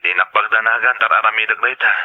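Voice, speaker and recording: A man's voice: on the low side, steady tone, fast talker. A man playing a devoted, hardworking father calling from abroad in an audio drama, through a phone filter, with an Ilocano accent. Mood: reassuring. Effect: phone call filter. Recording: thin, narrow telephone line sound.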